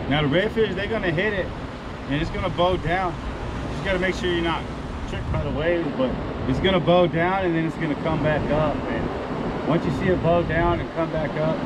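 Laid back guy doing a fishing vlog, kinda high voice, slangy, super loud wind and waves all over it. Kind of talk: casual outdoor chat, explaining to viewers. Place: open windy beach by the surf. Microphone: action camera outdoors, a few steps away. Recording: loud wind and surf noise.

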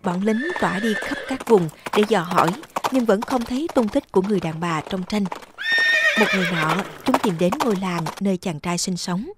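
A woman giving scripted storybook narration as the narrator of an animated folktale. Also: medium pitch, normal speed, very noisy.

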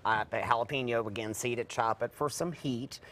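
A man's voice: mid-range voice, a little fast, a bit bright. Easygoing man demoing a recipe on TV. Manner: easygoing, chatty, explaining as he preps food. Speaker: cooking segment guest chef. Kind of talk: live TV cooking demo. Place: TV studio kitchen set.

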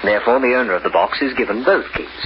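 An actor playing a formal, polite, scheming bank cashier in an old-time radio crime drama. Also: male voice, medium pitch, fast, lightly acted. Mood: polite, businesslike. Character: smooth-talking, scheming male bank cashier. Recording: muffled, noisy old radio recording.

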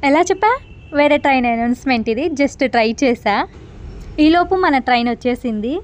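A woman's voice: sits high, lively intonation, brisk. A young adult woman, narrating an informal travel vlog and mixing in English terms. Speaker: travel vlogger.

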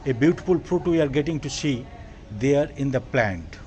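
A man's voice: mid-range voice, lively intonation, moderate pace, a little mellow. A man in his sixties with a Bengali accent, earnestly hosting a gardening vlog in his rooftop garden and calling his viewers "dear friends.". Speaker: gardening channel host. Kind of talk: casual gardening vlog tour.

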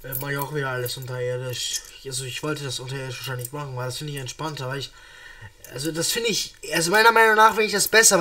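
A man's voice: a little high, pushed and bright, recorded from a distance. A young man with a cold, chatting casually and rambling through a reaction video from a small room. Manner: rambling, many restarts and fillers. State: has a cold. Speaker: reaction streamer.

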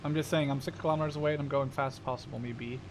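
Fast-talking, excitable young male gamer. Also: mid-range voice, noisy background. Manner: excitable, profane banter. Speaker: gamer playing in a squad. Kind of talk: casual gaming chat.

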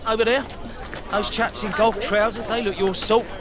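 An actor playing a brisk, cheeky, streetwise English army gunner in a radio play, colloquial and sardonic. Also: male voice, sits high, fairly even intonation, crowd noise, natural voice. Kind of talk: naturalistic radio play acting. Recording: noisy outdoor ambience behind dialogue.

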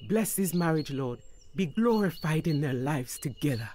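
A woman's voice: sits low, expressive, moderate pace, mellow, a little hiss or hum. An actress playing a devout, welcoming middle-aged mother of the bride in a radio drama. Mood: prayerful.

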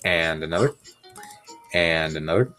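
A young man giving goofy, self-mocking commentary while playing a game, sick and sounding deeper than usual. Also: lively intonation, unhurried, pushed and bright, faint music behind. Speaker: gamer. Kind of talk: casual gameplay commentary.

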